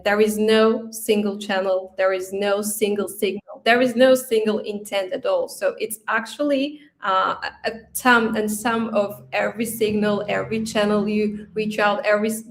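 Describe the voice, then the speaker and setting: Woman hosting a conversational business interview. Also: medium pitch. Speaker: host, interviewer.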